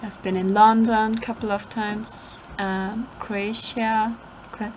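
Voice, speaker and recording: A woman's voice: mid-range voice, flat delivery, slow pace, crowd noise, rough recording. A young woman from Austria, an exchange student guest who speaks hesitantly. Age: young adult. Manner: hesitant, with many "like" and "um" fillers.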